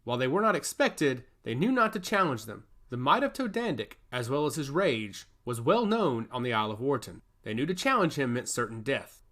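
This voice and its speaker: Male narrator for epic fantasy fiction, very lively pitch, emphatic dramatic read. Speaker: audio story narrator.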